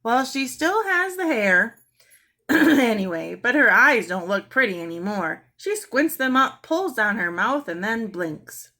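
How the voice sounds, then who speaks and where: A woman's voice: a little high, expressive, unhurried, bright, forceful tone, pitched above the performer's own voice, exaggerated voice. A woman voicing a fretful, exasperated mother in a storybook, with an emphatic, complaining manner. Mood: worried, upset.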